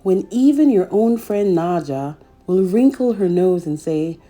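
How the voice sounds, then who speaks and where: A woman's voice: fairly low pitch, expressive, unhurried, mellow, soft background music. A woman hosting a children's read-aloud, telling a picture-book story expressively and speaking directly to young listeners.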